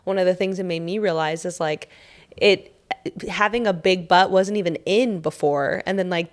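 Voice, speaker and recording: Young female podcast host; agreeable, chatty, filler-heavy delivery, slightly low pitch. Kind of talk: casual interview chat.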